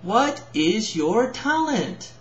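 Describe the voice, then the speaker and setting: A man voicing a brisk, impatient, scowling adult female fairy from a storybook. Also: sits high, big pitch swings, slight background noise, voice pushed up, exaggerated voice. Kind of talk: storybook character voice. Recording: roomy echo, slightly dull home recording.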